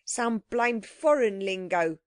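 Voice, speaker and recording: A woman voicing a rough, indignant working-class man in a storybook, mocking. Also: mid-range voice, expressive, slow pace, dry recording, clean studio sound. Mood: jeering.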